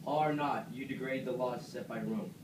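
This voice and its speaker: A man plays a stern, righteous Roman leader in a homemade puppet play. His male voice is middling in pitch and declamatory. It sounds far from the mic, with clear background noise. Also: steady tone, normal speed, natural voice. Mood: accusing. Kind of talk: amateur puppet-show Shakespeare parody. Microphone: distant camera microphone. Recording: echoey noisy room, far from mic.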